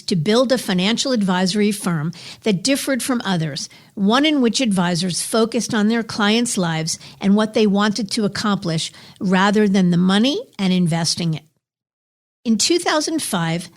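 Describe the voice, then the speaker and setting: Polished woman hosting an interview. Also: medium pitch. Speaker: podcast host. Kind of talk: scripted intro and interview questions.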